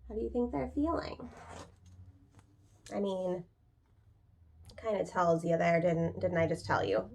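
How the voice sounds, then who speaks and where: A woman's voice: on the low side, lively intonation, measured pace, gravelly, a little mellow, a little hiss or hum. A woman reading a children's picture book aloud like a preschool teacher, expressively, with casual, chatty asides and questions to young listeners. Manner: informal, chatty explanations.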